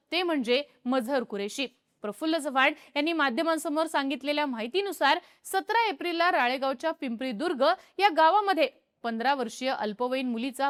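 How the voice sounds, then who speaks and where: A woman with a Marathi accent narrating a scripted news report in a steady, measured tone. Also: sits high. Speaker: news presenter.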